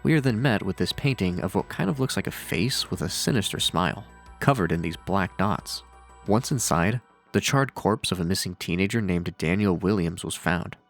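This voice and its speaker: A man's voice: sits low, lively intonation, moderate pace, gravelly. A man narrating scripted commentary on horror with casual, dryly sardonic asides. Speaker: video narrator and reviewer. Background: faint music.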